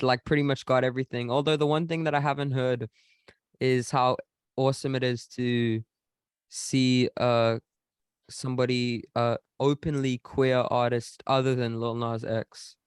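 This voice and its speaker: A man's voice: mid-range voice, clear, resonant tone, dry recording, studio quality. A man from Africa, a guest reviewer who talks in a hesitant, thoughtful way. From South Africa. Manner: hesitant, thoughtful, many restarts. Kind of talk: casual video call chat.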